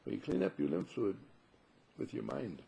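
A man gives a spiritual talk in a very husky, gravelly voice with a mellow, dark tone. He teaches through rhetorical questions and leans on repeated phrases to drive each point home. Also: fairly low pitch, lively intonation, normal speed. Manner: rhetorical questions, emphatic repetition.

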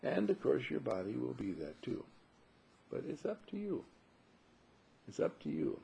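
A man speaking as a spiritual teacher in a husky, gravelly voice, posing rhetorical questions and repeating phrases for emphasis. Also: medium pitch, wildly swooping pitch, unhurried, mellow, a little hiss or hum. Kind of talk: spiritual talk with parables.

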